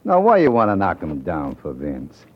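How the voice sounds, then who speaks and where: Low-voiced, sly radio crime boss. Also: male voice, huge pitch swings, normal speed, a little hiss or hum. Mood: mocking. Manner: mocking, threatening, commanding. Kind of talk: old-time radio crime drama acting. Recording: muffled old radio recording.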